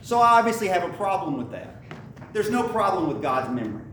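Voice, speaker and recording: A middle-aged man preaching a sermon, telling stories and climbing higher in pitch to stress his points, with frequent restarts. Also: very animated, a little fast, a bit bright. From Lebanon, Tennessee area. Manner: storytelling, rises in pitch for emphasis, frequent restarts. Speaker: pastor. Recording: echoey room from a distant mic, faint noise.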